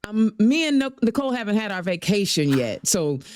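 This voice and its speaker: A woman in her fifties hosting a podcast, chatting in a folksy, animated and playful way. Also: medium pitch, fairly quick, slight background noise. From Indiana. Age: 50s. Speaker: podcast host. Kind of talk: casual interview chat.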